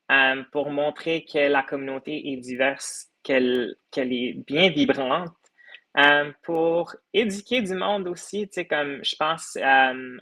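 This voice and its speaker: A man's voice: medium pitch, bright, forceful tone. A young man from the United States, a podcast creator talking earnestly in a casual interview, with many hesitations.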